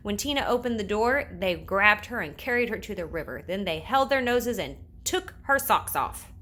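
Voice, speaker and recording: A woman reads a children's storybook aloud with a lively, swooping delivery. Her voice is bright and forward, and she plays up repeated words and sound effects. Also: mid-range voice, moderate pace. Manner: animated, with playful repetition and sound words. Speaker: storybook reader. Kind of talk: expressive children's read-aloud.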